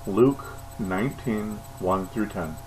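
A man's voice: fairly low pitch, takes it slowly, soft background music, rough recording. A man in his forties, a pastor, preaching a sermon and reading scripture aloud with earnest emphasis, seated on a couch at home in front of a webcam. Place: living room, seated on a couch, re-recorded at home. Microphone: webcam at close range.

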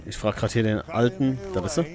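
A man's voice: lowish voice, fairly quick, a little mellow. A man hosting a let's play, giving casual, playful gaming commentary and often muttering to himself. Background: faint game music.

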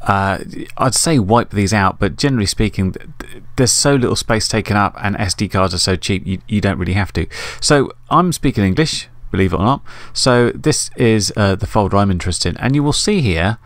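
Low-voiced middle-aged man, casual tutorial. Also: lively intonation, fairly quick, few pauses, dry recording. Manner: informal, explanatory, conversational. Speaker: host of an RC radio tutorial.

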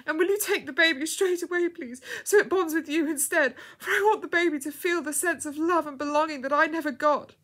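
A woman's voice: high voice, fairly quick, hardly any pauses, fairly bright tone, voice pushed up. A woman voicing a frightened young woman in labour in a story, pleading and tearful.

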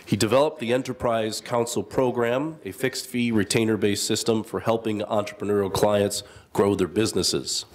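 A man with a fairly low voice moderates a panel discussion. He reads each speaker's introduction in a measured, formal way, now and then slipping in a dry aside. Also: steady tone.

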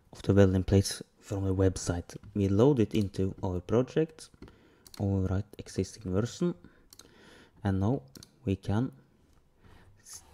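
A man hosting a software tutorial, talking through each step plainly with pauses over the sound of clicks and typing. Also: deep voice. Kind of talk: screen-recorded software tutorial.

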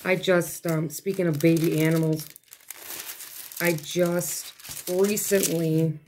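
A woman with a hoarse, failing voice chatting casually at her dining table as she unboxes craft kits, rambling and breaking off mid-thought. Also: on the low side, fairly even intonation, slow, darkish tone, slight background noise. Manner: rambling, chatty, self-interrupting. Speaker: craft vlogger. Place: home dining room, seated at a table.

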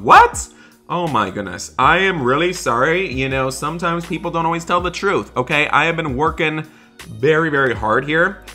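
A man narrates roleplay gameplay as a video host, in a bright, forward voice at a middling pitch. He is animated and exclamatory, addressing viewers directly. Music plays behind him. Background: background music.

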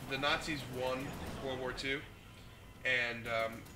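A young man who is sick with the flu talks loosely over his gameplay, a little hoarse and quick to swear at what happens on screen. His voice sits at an ordinary pitch. Clear background noise hangs in his home recording. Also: fairly even intonation. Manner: profane, reacts out loud to the game. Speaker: gaming host. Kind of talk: casual gameplay commentary. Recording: noisy home mic recording.

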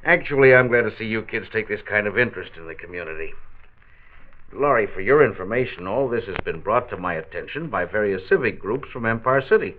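A man plays an amiable plant manager and father in a 1940s radio drama. His voice sits fairly low and good-humored. It comes through a narrow, tinny old disc recording. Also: lively intonation, fairly quick, lightly acted. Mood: wry, amiable.

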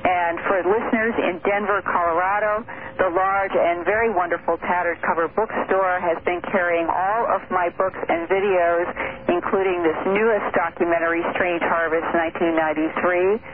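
A woman's voice: on the low side, fairly even intonation. A woman talks earnestly and precisely as a radio guest. Her voice comes through a narrow, phone-like line with faint noise. She words things formally and carefully. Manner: measured, articulate, formal wording. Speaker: radio guest, researcher and author. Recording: noisy narrow-band telephone radio line.

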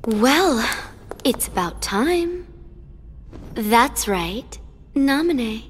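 A woman's voice: a little high, big pitch swings, drawn-out words, in a large hall, slight background noise, heavily acted. An actress voicing a sadistic young female villain in a game, taunting with a mocking sing-song manner. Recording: game cutscene with loud music.

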